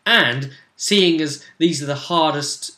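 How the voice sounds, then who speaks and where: A man's voice: fairly high pitch, slow pace, pushed and bright. A man chatting casually as co-host of a tabletop role-playing vidcast, going over the campaign. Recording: echoey room.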